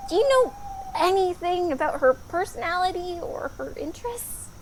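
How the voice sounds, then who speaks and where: Woman as blunt, high-voiced, exasperated young maiden. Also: lively intonation, normal speed, slight background noise. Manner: modern, casual, dry sarcasm. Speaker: voice actor. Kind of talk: comedic fairytale audio drama acting.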